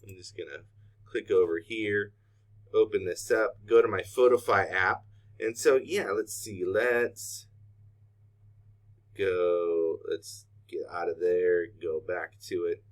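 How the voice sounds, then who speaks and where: A middle-aged man, walking through a software tutorial in a casual, unscripted way as a trainer. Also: low voice, steady tone, takes it slowly. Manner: casual, unscripted, thinking aloud while clicking. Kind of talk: informal screen-share tutorial.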